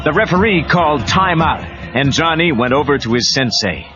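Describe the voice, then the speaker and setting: Animated male storybook narrator on muffled cassette. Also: fairly high pitch, normal speed. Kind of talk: dramatic scripted storybook narration. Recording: muffled cassette, music and crowd behind.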